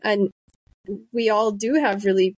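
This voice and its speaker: A woman's voice: mid-range voice, a little slow, no room sound, rough recording. A woman in her thirties, a podcast host and author, chatting casually and telling stories with quoted asides. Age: 30s. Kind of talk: casual conversation.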